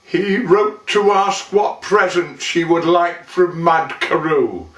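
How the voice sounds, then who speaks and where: A man performing a dramatic narrative poem as an old-fashioned monologue, with measured, deliberate, theatrical phrasing. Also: on the high side, fairly bright tone. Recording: roomy, echoing, distant microphone.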